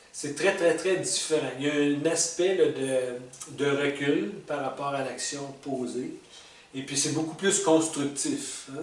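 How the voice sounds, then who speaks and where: A man in his sixties with a Québécois French accent, thinking back over the stages of his life in a halting, casual way, full of fillers, restarts and small chuckles. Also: fairly high pitch, distant mic. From Quebec. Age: 60s. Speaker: retired vlogger reflecting on life stages. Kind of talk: casual spoken reflection. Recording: echoey room, speaker well back from the mic, faint hiss.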